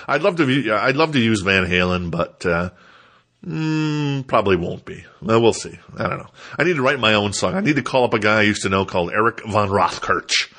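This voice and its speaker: A man's voice: on the low side, animated, moderate pace, bright, forceful tone. A stuffed-up man, rambling casually and self-deprecatingly as he hosts a solo podcast. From Minnesota, United States. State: stuffed up, congested. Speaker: podcast host.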